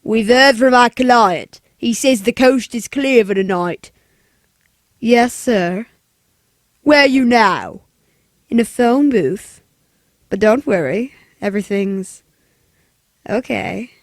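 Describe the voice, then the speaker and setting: A woman voicing a calm, businesslike male hired killer in a 1940s-style radio play, with a flat, matter-of-fact delivery. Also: a little high, slow, pauses often, dry recording. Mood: cold, calm.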